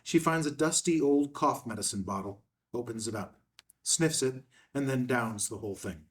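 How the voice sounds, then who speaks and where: A man's voice: mid-range voice, normal speed, distant mic. A man narrating scene headings and stage directions from a screenplay in a scripted read.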